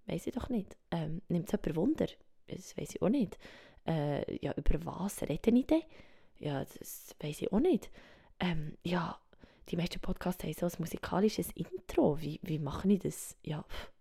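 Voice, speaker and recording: Soft-spoken Swiss woman, whispery and gravelly. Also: fairly low pitch. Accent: Swiss German. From Switzerland. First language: Swiss German. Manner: soft, often drops to a whisper, thinks aloud with fillers. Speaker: podcast host. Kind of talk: reflective solo podcast monologue. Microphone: home microphone.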